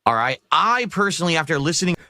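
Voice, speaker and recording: A man's voice: a little high, very animated, fast, pushed and bright, no room sound. A man, a game streamer, talking to his viewers in a wordy, over-explaining monologue.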